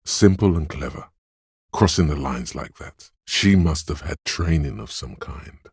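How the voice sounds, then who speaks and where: A man voicing a cool, sly, goading inner voice in a video game, narrating in the second person with deliberate, savored words. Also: no echo, studio quality, full character voice. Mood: observant, measured. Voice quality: very deep, gravelly, husky.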